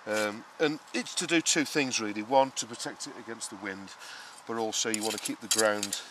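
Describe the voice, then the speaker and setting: A man giving a casual, explanatory gardening talk in a halting, unscripted way, as a vlogger would. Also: on the low side, fairly bright tone. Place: outdoor vegetable garden and greenhouse. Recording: clearly noisy outdoor ambience, uneven distance.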